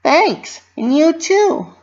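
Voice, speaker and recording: A young female cartoon pony, as a storybook narrator puts her on, pitched very high. She is bubbly, earnest and anxious to please. The recording is a little dull. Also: big pitch swings, very slow, exaggerated voice. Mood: cheerful.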